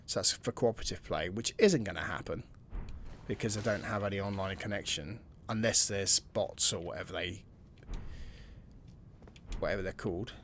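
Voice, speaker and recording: A man's voice: deep voice, normal speed, creaky, fairly bright tone, a little hiss or hum. A man giving casual let's-play commentary, musing and thinking aloud, sometimes dropping to a near-whisper. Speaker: gameplay commentator.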